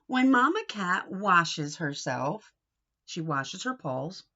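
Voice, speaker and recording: Lady doing a kids read-aloud, bright sing-song voice, asks the little ones questions, bit muffled lo-fi audio. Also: on the low side, takes it slowly. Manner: expressive, sing-song, coaxing with questions to children. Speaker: read-aloud teacher for young children. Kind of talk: interactive picture-book read-aloud for young children.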